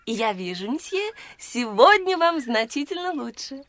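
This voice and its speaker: A woman's voice: high voice, sing-song, moderate pace, a bit bright. An actress playing a polite, airy young woman from a detective audio play. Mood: pleasant small talk. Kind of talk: audio drama acting.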